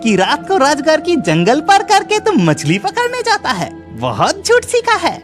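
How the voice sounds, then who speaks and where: A woman's voice: high-pitched, huge pitch swings, normal speed, over music. A woman voicing a bossy, doubting village boy in a cartoon story, emphatic and taunting.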